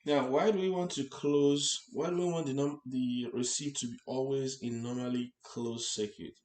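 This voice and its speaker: A man giving an unscripted tutorial, explaining step by step in a halting way, repeating and rephrasing as he goes. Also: medium pitch, fairly even intonation, a little mellow. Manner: halting, repeats and rephrases phrases, checks with "right?". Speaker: instructor. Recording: echoey, roomy sound.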